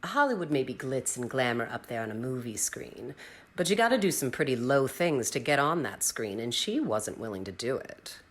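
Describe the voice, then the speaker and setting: Audio drama: jaded Hollywood cynic, deep female voice, blunt and dismissive, lively inflection. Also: moderate pace. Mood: jaded, cynical. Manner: blunt, dismissive, world-weary.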